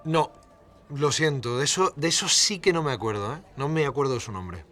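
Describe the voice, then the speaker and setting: A man's voice: medium pitch, brisk, bright, forceful tone, faint music behind, close to an everyday voice. An actor playing a blunt cameraman from Extremadura, speaking colloquially and hesitantly in a mock documentary interview. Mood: hesitant, recalling. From Cáceres, Spain. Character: TV cameraman from Extremadura recalling events, resentful but kindly.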